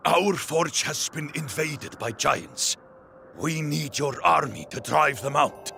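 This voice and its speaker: An actor playing a gruff, businesslike male dwarf smith in a video game cutscene, with a gravelly voice. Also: fairly high pitch, expressive, slow, sharp tone, slight background noise, full character voice. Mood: urgent. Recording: game capture with music underneath.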